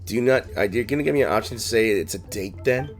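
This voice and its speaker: A man's voice: on the low side, animated, fast. A man giving casual, playful game playthrough commentary, thinking aloud and reacting, with game music behind. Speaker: gaming streamer.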